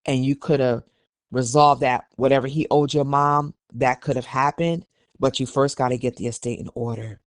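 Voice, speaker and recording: A man's voice: medium pitch, fairly even intonation, moderate pace, dry recording, clean studio sound. A man hosting a podcast, reading documents aloud and giving opinionated, conversational commentary. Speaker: podcast host.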